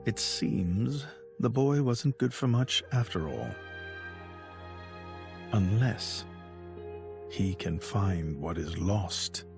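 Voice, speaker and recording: Coolly commanding high priest, low voice, slow measured speech, aloof, game cutscene, music behind. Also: male voice, vocal fry, full character voice. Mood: cool, scheming. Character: calm, calculating high priest, coolly commanding adult man. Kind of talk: video game cutscene voice acting. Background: prominent music.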